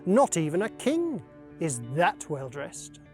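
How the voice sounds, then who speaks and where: Female children's storyteller: very slow delivery, lively melodic range, light music bed. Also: lowish voice. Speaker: story reader.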